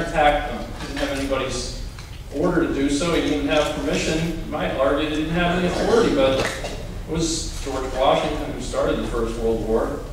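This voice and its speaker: A man's voice: on the high side, recorded from a distance, background noise. Wry man lecturing in an echoey hall. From Hawaii. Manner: wry, deadpan humor. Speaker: speaker at a political rally. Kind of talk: lecture with dry jokes. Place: large hall before an audience.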